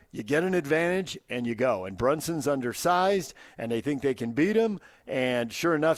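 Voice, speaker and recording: A man's voice: fairly high pitch, no room sound. A man hosting a conversational sports talk radio show on a close studio microphone.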